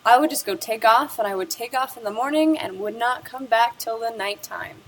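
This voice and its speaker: A woman's voice: fairly high pitch, a little fast, a bit bright, a little hiss or hum, rough recording. A young woman presenting a school project interview, reading a prepared script about the person she talked with. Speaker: student presenter.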